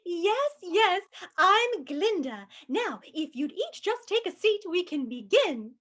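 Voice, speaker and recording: A woman voicing a brisk, cheerful female therapist who bosses her patients along in a sing-song way. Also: very high-pitched, sing-song, fairly bright tone, dull audio, exaggerated voice. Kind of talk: livestream play reading.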